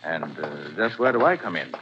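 An actor playing a calm, assured male detective who speaks in a measured, authoritative way in a 1940s radio drama. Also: very deep voice. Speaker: private detective. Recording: dull 1940s radio recording, faint hiss.

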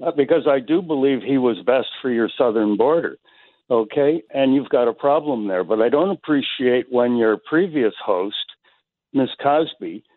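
A man's voice: medium pitch, animated, normal speed, dry recording. A man from Canada calling a radio show, argumentative, who keeps talking over the host. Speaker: caller. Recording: tinny phone line.

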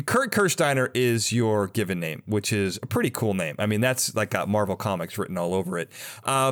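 Animated male podcast host. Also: mid-range voice. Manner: effusive, theatrical list-like delivery in introductions. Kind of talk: upbeat conversational interview show.

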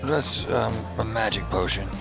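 An actor playing a wry, tipsy, hard-boiled antihero who narrates an audio drama with sardonic, muttered asides. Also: male voice, on the low side, measured pace, gravelly. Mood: groggy, defensive. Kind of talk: first-person noir narration and dialogue, audio fiction. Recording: music behind the voice.

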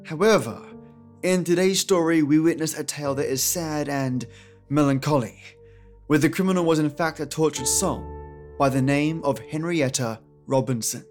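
A man hosts a podcast with a scripted introduction. His voice sits a little higher than average, and music plays underneath as he narrates. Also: normal speed, darkish tone. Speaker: podcast host. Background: music, guitar.

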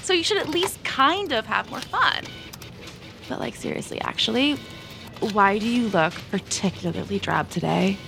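An actress playing a breezy, self-absorbed young office gossip in an audio drama, gushing and slangy with drawn-out emphasis. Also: female voice, mid-range voice, expressive, vocal fry, over music. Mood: gushing, teasing. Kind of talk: scripted audio drama acting.

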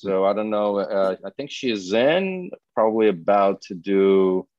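A man's voice: lowish voice, expressive, measured pace, darkish tone, no echo, dull audio. A man hosting a podcast, chatting informally and unscripted in a bantering way over a video call. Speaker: podcast host. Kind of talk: casual unscripted chat.

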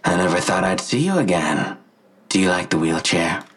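A man playing a smug, gloating imprisoned villain in an audio drama, slow and mocking, with a gravelly, husky voice. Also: sits low, animated, fairly bright tone, heavily acted.

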